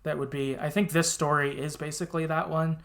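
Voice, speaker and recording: A man's voice: fairly high pitch, flat delivery, brisk, gravelly, a bit bright. A man hosting a podcast in a casual, rambling and agreeable chat style with some hesitation. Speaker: podcast host.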